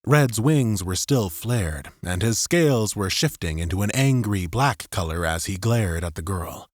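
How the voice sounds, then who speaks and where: A man's voice: on the low side, animated, measured pace, dry recording. A man narrating dramatic fiction as a scripted audiobook.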